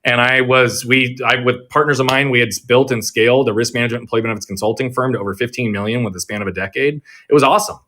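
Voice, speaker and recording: Rapid-fire earnest man telling his story. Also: mid-range voice. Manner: energetic, earnest, self-reflective. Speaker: guest, performance coach. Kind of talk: conversational storytelling interview. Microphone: remote audio on a social audio app.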